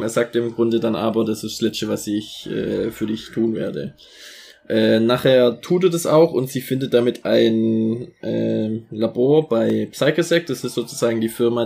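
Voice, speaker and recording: Gravelly man rambling through a recap. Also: medium pitch, warm tone. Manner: rambling, many fillers and restarts. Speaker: podcast co-host summarizing an episode. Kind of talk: casual episode recap.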